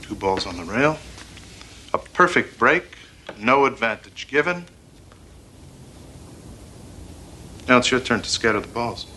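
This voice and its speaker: An actor playing a calm, needling older master pool player in an American accent, speaking in a measured, deliberate way for a television drama. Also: male voice, medium pitch, a little hiss or hum, poor audio. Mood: cool, confident. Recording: television soundtrack with music behind.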